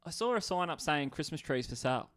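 A man's voice: fairly high pitch, animated, fast talker, a bit bright, clear, resonant tone. An Australian man chatting casually as a podcast co-host, joking with a mock-serious air. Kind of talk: casual chat. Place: podcast studio.

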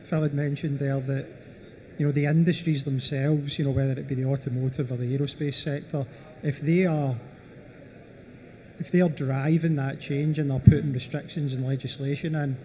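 A man's voice: medium pitch, steady tone, normal speed, dark tone, slight background noise. A man speaking as a panelist in a panel discussion, leaning on "you know" as filler. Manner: repeats "you know" often.

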